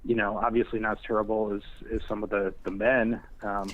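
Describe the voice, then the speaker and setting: Man answering haltingly over a phone-like line. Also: fairly low pitch, little pitch movement. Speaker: screenwriter guest. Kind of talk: casual interview answers.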